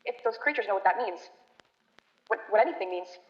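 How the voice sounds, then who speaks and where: A woman playing a rattled radio reporter giving halting, alarmed eyewitness commentary from the field in a radio drama. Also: mid-range voice, expressive, lightly acted. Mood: tense, hushed watchfulness. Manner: breathless, halting, repeating words in alarm. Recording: dull, echoey stage recording.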